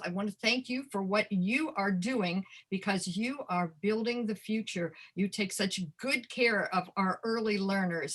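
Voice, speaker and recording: Bright-voiced older woman presenting. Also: fairly high pitch. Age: 60s or older. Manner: thankful, addresses listeners directly, emphatic key phrases. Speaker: educator, webinar guest presenter. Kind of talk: webinar presentation to childcare providers.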